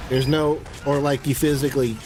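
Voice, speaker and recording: Man, streamer, casual gaming chat, offhand rambling delivery, clear game noise behind. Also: mid-range voice, level tone, fairly quick. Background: video game sounds.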